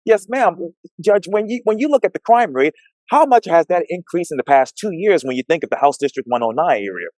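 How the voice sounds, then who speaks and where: A man's voice: on the high side, animated, a little fast, darkish tone, no room sound. An American man hosting a one-on-one political interview, courteous and formal in how he addresses his guest. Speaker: interviewer, host.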